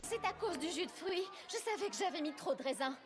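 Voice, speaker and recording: A woman's voice: very high voice, lively intonation, fast talker, music in the background. A woman voicing a dramatic teenage girl in a cartoon dub. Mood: earnest.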